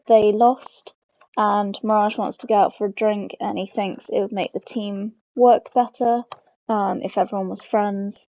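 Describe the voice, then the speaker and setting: A woman's voice: medium pitch, creaky, warm tone, no echo. A woman hosting a game lore video, explaining it informally as she goes. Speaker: host of a game lore channel. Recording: dull lo-fi close mic.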